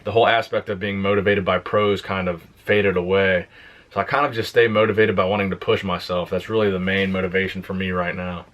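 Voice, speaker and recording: A young man on a fitness channel, casually answering viewer questions in a plain, relaxed way. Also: sits low, brisk. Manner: relaxed, plain-spoken, reads questions aloud then answers. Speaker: fitness YouTuber. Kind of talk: casual Q and A vlog.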